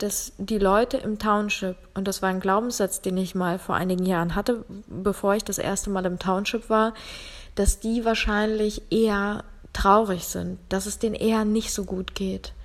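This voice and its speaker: Young woman from ukraine doing a solo podcast, thoughtful, chatty, lots of umms. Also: medium pitch. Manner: reflective, conversational, many fillers. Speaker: podcast host.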